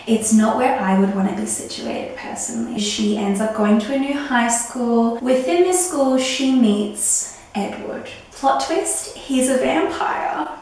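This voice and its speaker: A woman in her thirties, husky from a recent illness, chatting excitedly about a book. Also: fairly high pitch, a little slow, slight background noise. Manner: gushing, excitable. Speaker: book reviewer. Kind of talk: casual book review chat. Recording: very echoey room.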